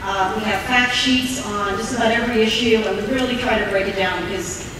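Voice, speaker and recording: A woman policy advisor addressing a town hall, with a naturally loud voice and a friendly, self-deprecating manner. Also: mid-range voice, fairly even intonation, brisk. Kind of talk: informal public talk. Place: large echoey meeting hall. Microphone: room mic heard from a distance. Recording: echoey hall recording from far off with background noise.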